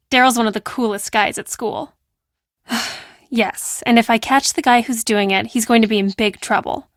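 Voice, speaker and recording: A woman playing a brash, defensive teenage girl who works as a high school hall monitor in a video game, with a sassy, dismissive and emphatic delivery. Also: fairly bright tone, studio quality, close to an everyday voice. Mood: matter-of-fact. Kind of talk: acted video game dialogue.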